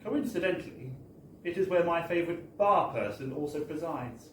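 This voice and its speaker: Male live storyteller, fairly high voice, wry theatrical comic reading, distant roomy sound with faint noise. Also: lively intonation, normal speed. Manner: wry, mock-formal, theatrical delivery. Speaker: reader of a humorous book. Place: bar, indoors, at a live book launch.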